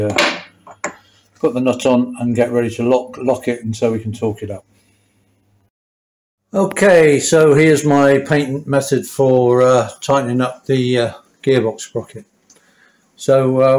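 A man's voice: medium pitch, darkish tone. Chatty older Englishman giving a workshop tutorial. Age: middle-aged or older. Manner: chatty, self-deprecating, wry asides. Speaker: motorcycle mechanic and host. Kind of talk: casual hands-on workshop tutorial. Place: small indoor workshop at a workbench.

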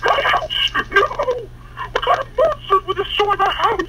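A man playing a melodramatic villain who rants and commands in an amateur Minecraft roleplay, with drawn-out, overwrought delivery. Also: very high-pitched, lively intonation, sharp tone, a little hiss or hum, exaggerated voice, rough recording. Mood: outraged. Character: villain commanding a zombie army, ranting and melodramatic.

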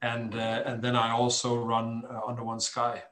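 A middle-aged man with a Danish accent talks conversationally as an interview guest, in measured, hesitant phrases with frequent pauses. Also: mid-range voice, completely flat delivery, fairly bright tone. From Denmark. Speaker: interview guest, charity founder. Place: small cluttered room at home, indoors. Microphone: computer mic on a video call. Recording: echoey room sound.

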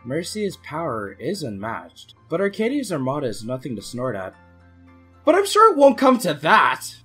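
A man voices a fretful scientist in a comic dub. He sounds prim and proper, with a husky voice that swings widely as he scolds, over faint music. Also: fairly high pitch, huge pitch swings, moderate pace, sharp tone, heavily acted. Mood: prim, explaining. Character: fretful, fussy male scientist minding the prince. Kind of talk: amateur comic dub acting.

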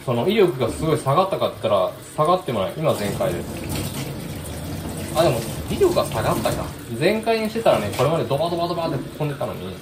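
A man's voice: fairly high pitch, very animated, racing. A man giving a casual, conversational hands-on DIY demonstration as a vlogger. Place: indoors at a kitchen sink by a window. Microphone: smartphone set near the sink. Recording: roomy echo, clear running-water noise. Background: running water and room ambience.

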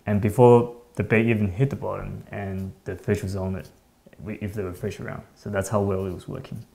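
A man in his thirties hosting a fishing show, explaining gear in a relaxed, hesitant way with many ums, often trailing off softly. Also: on the low side, a little fast, gravelly. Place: bright indoor studio at a table.

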